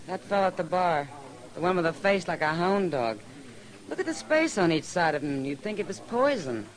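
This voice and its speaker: A man's voice: high-pitched, big pitch swings, moderate pace, rough recording. An American woman acting a jaded, sardonic frontier saloon woman in an old-time radio western. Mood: fed up.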